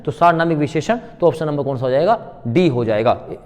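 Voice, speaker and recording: A man's voice: a little high, animated, fairly quick, a little mellow. A man teaching a grammar lesson in an informal, instructional way, repeating key points and putting questions to students that he answers himself. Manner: informal, familiar address to students. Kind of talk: classroom grammar lecture with worked examples. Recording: long hall-like reverb on voice.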